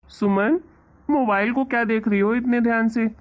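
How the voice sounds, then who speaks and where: A woman's voice: on the high side, steady tone, moderate pace, lo-fi sound, lightly acted. A woman voicing a patient, budget-minded husband in a cartoon moral story, placating and mildly scolding before he gives in. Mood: curious.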